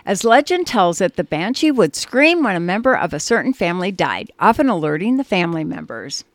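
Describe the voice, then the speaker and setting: A woman's voice: lowish voice, very animated, brisk, no room sound. A woman co-hosting a radio show, chatting and reading ads in an upbeat way with bursts of emphasis. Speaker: radio co-host.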